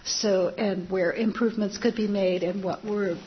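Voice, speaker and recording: A woman's voice: medium pitch, fairly even intonation, moderate pace, slight background noise. Board member, female, voice going, sincere, dull muffled recording with faint background. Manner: earnest, frequent ums. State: losing her voice. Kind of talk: public meeting remarks.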